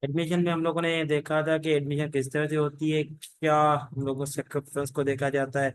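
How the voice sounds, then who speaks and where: Man lecturing, swooping expressive pitch. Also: fairly quick, clean tone, no echo. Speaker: teacher giving an online law class. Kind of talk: informal lecture mixing Hindi-Urdu and English legal terms.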